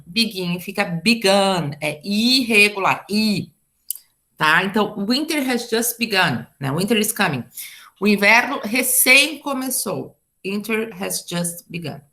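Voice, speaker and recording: Bright, gravelly Brazilian woman teaching. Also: mid-range voice. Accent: Brazilian Portuguese. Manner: chatty, explains with asides and "tá?". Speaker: English teacher. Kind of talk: online class lesson.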